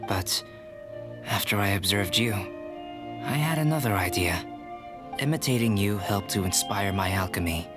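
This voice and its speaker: A young scholarly alchemist in an anime-style video game. His voice sits fairly low, and he speaks calmly in formal, measured, contemplative phrases. Music plays clearly behind him. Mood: explanatory.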